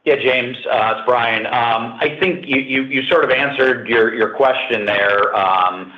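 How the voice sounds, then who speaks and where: A man speaking as a company executive answering questions on an earnings call, halting and measured as he repeats words. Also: mid-range voice. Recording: muffled narrow phone line, roomy echo.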